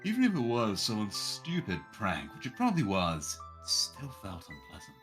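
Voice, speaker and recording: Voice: low voice, wildly swooping pitch, moderate pace, a bit bright, soft background music. A streamer reading a visual novel's narration aloud in a dramatic way.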